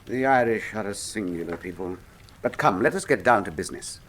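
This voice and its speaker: An actor playing a brilliant, self-assured Victorian detective in a radio mystery, with a wry, precise, formal manner. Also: male voice, fairly low pitch, animated, brisk, fairly bright tone, slight background noise. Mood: brisk, courteous. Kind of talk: full-cast radio mystery drama acting.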